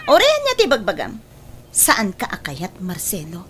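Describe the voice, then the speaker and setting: A woman's voice: mid-range voice, huge pitch swings, measured pace, soft background music. A woman acting a headstrong, lovestruck young daughter in an emotive audio drama, pouting and protesting. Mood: scornful.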